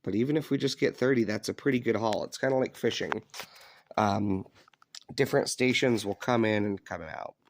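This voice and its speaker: A man giving a casual, unscripted hobby demonstration in a low-key, hesitant, conversational way. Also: normal speed. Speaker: hobbyist video presenter. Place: indoors in an upstairs room.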